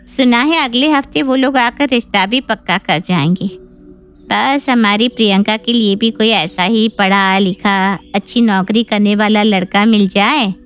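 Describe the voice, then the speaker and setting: A woman's voice: a little high, clear, resonant tone, close to an everyday voice. A woman voicing an envious, fretful middle-aged mother with a complaining, wistful manner in a cartoon story.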